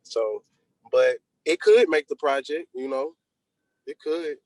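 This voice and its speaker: A man's voice: fairly high pitch, slow pace, a little mellow, no room sound, poor audio. A young man being interviewed as a rapper, chatting casually in slang over a video call. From Texas, United States. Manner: slangy, conversational. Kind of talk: casual chat.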